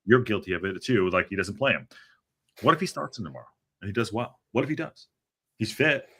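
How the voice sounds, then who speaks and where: A man hosting a football podcast, talking in an animated, rambling way with a lot of restarts. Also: fairly low pitch, a little fast, slightly rough. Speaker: podcast host. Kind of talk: casual chat.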